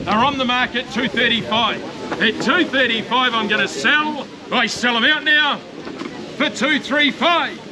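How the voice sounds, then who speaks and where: A man with a high, ringing voice and a New Zealand accent calls a livestock auction, chanting bid figures over and over at speed. He coaxes the bidders along in a practised rhythm. A loud crowd and bleating sheep fill the outdoor saleyard around him. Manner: rapid repetitive chanting of bids, coaxing the buyers.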